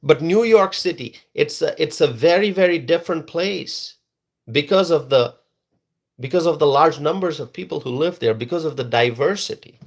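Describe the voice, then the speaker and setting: Emphatic man with a higher voice. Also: moderate pace. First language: Bengali. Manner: emphatic, repeats words for stress. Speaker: political commentator. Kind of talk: opinion monologue.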